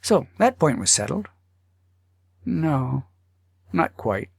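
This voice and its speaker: A man's voice: medium pitch, big pitch swings, slow, vocal fry, breathy edge, no echo. A man reading a humorous story aloud as an audiobook narrator, theatrical in the way he dramatizes it. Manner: theatrical, dramatizing thoughts and dialogue.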